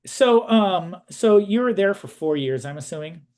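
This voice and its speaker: A man's voice: high-pitched, expressive, moderate pace, a little mellow. A middle-aged or older man interviewing someone with casual, exploratory questions.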